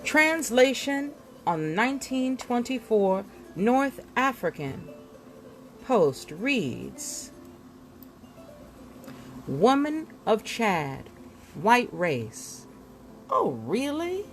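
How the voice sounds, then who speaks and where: A woman reading a nonfiction book aloud in a dramatic, deliberate way, leaning on words and leaving emphatic pauses. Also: a little high, very animated, soft background music. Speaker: book reader.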